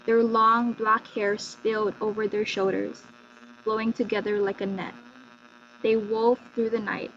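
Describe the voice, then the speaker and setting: A woman's voice: mid-range voice, little pitch movement, measured pace, a bit bright. A woman telling a scripted folktale as narrator with a dramatic storytelling delivery. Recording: muffled lo-fi, faint hum.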